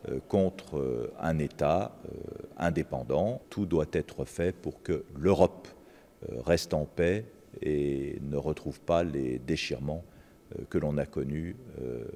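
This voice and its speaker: A man giving a public statement, speaking slowly and hesitantly with constant filler pauses. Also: a bass voice, warm tone, long reverb tail, a little hiss or hum.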